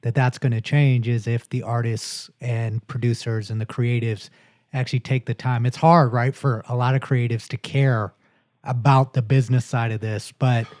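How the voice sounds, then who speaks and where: Mellow-voiced male co-host. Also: mid-range voice, flat delivery, normal speed, dry recording, clean studio sound. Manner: chimes in with business opinions. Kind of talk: casual interview chat.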